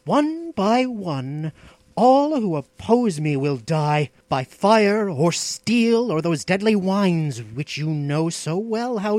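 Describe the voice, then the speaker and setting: Man acting an arrogant, scheming fantasy outlaw, high-pitched and sweeping. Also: dry recording, exaggerated voice. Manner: imperious, mocking, theatrical declamation. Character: ambitious, scheming, arrogant male outlaw plotter, impatient master. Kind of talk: dramatic fantasy audiobook dialogue.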